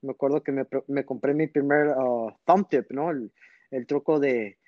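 A man's voice: medium pitch, unhurried, dry recording, lo-fi sound. A man, a guest telling stories at length in a casual podcast interview, full of fillers. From born in Texas, raised in northern Mexico. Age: 30s. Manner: rambling, storytelling, frequent fillers. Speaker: guest magician.

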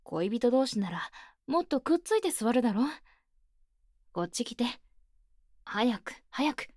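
A woman playing an earnest, bookish high-school club president with stiff, boyish phrasing, speaking softly and close in a Japanese ASMR voice drama. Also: big pitch swings, unhurried, no room sound. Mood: happy, eager. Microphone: close binaural microphone, dry.

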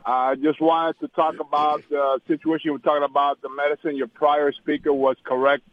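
A man's voice: a little high, level tone, brisk. A man from Cuba, raised in the United States, calling a radio show and speaking politely and earnestly. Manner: polite, deferential. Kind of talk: earnest phone-in comment. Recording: dull lo-fi radio call-in line.

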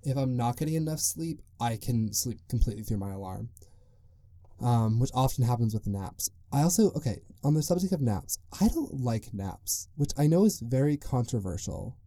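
A man's voice: mid-range voice, lively intonation, normal speed, mellow, dry recording, clean studio sound. A young man hosting his own podcast, rambling loosely and chatting on his own. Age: young adult. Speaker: podcast host. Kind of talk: casual solo podcast monologue.

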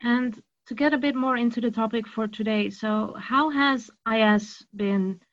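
A woman's voice: a little high, measured pace, lo-fi sound. A woman hosting a podcast, introducing and putting questions to a guest in a conversational interview style.

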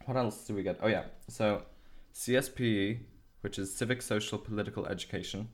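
Chatty Irish teenage boy, slightly low. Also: fairly quick. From Ireland. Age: teens. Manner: chatty, explains at length with fillers. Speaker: student explaining school. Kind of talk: casual video chat.

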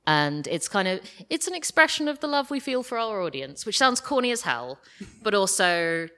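A woman's voice: medium pitch, big pitch swings, moderate pace, bright, forceful tone. A British woman speaking informally and gushingly as an interview guest, telling casual anecdotes and acting out bits of imagined dialogue. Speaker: guest, audio drama creator. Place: live podcast festival stage with audience.

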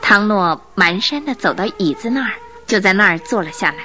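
Female narrator, expressive storytelling, lively melodic range, radio drama, music in the background. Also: medium pitch, fairly quick.

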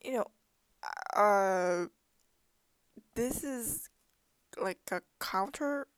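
A woman's voice: medium pitch, expressive, drawn-out words, smooth, no room sound. A young woman whose first language is Korean, presenting as a student in a thoughtful way, with frequent fillers and self-corrections. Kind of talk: unscripted presentation.

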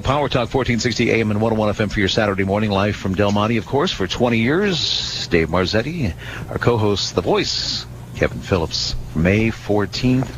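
Chatty low-voiced man hosting radio. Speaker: radio show host. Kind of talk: casual live radio talk show hosting. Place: live on location radio broadcast. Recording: faint background noise, live location radio.

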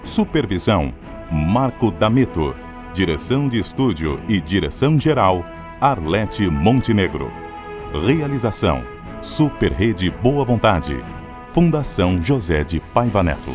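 Announcer role: Brazilian man, lowish voice, big expressive swings, formal credit-reading over prominent music. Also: unhurried. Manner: formal, emphatic. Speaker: radio announcer. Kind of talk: scripted radio credits announcement.

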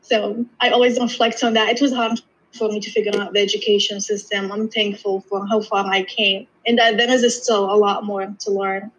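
A woman's voice: medium pitch, flat delivery, fairly quick, fairly bright tone, slightly rough, low-quality recording. A young woman in her twenties, from Iraq and Jordan, a podiatry student chatting as an interview guest, often restarting and quoting herself. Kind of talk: casual interview chat.

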